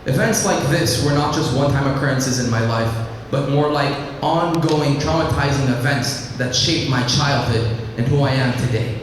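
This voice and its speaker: A teenage boy from Iraq, raised in Lebanon, giving an earnest personal speech to an audience and telling his story at a measured pace. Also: medium pitch, slight background noise. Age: teens. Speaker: student speaker. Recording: echoey hall, mic at a distance.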